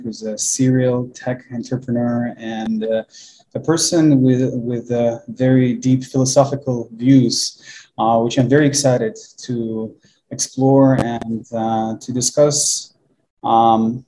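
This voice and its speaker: A man hosting an interview, speaking in a hesitant, halting way with many restarts. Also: medium pitch, dark tone, poor audio. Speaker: host.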